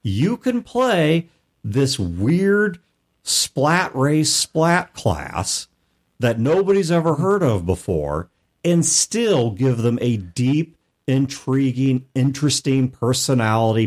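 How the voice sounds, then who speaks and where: A man's voice: medium pitch, darkish tone. A man co-hosting a gaming talk show, laying out ideas step by step in casual chat. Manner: methodical, lays out ideas with examples. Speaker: podcast co-host.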